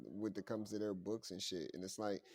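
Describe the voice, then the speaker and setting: A man co-hosting a casual podcast chat, talking in an animated, profane way, breaking into laughs and reading news aloud. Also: fairly low pitch, a little fast. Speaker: podcast co-host.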